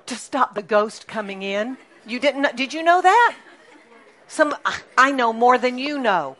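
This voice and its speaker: A middle-aged woman pastor preaching a sermon in a lively, chatty way, often asking the congregation questions. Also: on the high side, measured pace, a faint crowd.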